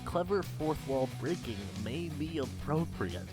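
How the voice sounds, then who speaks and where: A man's voice: on the high side, expressive, measured pace. A man playing a game developer who presents step by step at a convention panel in a visual novel game. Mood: upbeat, instructive. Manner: addresses a crowd in clear steps. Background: guitar game music.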